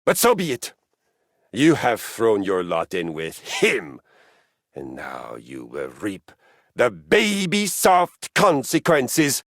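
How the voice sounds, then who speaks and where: Bombastic warrior brute, wildly swooping delivery. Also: male voice, mid-range voice, huge pitch swings, takes it slowly, fairly bright tone, no echo, clean studio sound, heavily acted. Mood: bitter disdain. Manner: bombastic, domineering, scornful. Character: huge pompous bearded warrior brute preaching painful exercise. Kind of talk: video game character acting.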